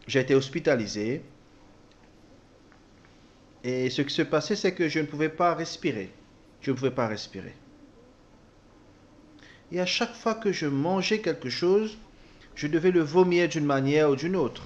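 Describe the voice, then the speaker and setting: A man interpreting a personal testimony, speaking haltingly with repeated words and self-corrections. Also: fairly high pitch, expressive, dull audio. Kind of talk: spoken translation of a personal testimony.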